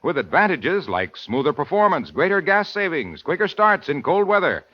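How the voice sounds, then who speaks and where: A man's voice: fairly high pitch, big pitch swings, normal speed. A man reading a vintage radio commercial with hearty, emphatic sales patter, on an old muffled recording. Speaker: commercial announcer. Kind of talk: 1940s scripted radio advertisement.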